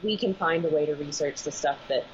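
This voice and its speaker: A woman's voice: deep voice, brisk. A woman veterinarian speaking as an interview guest over a video call, earnest and thinking aloud as she talks. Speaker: veterinarian and animal massage school director. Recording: muffled video call audio with faint noise.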